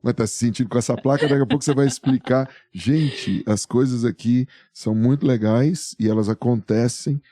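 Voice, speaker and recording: A man's voice: mid-range voice, moderate pace, hardly any pauses, mellow, no echo, studio quality. A man hosting a podcast interview, teasing his guest and joking as he asks questions. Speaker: podcast host. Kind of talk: casual interview chat.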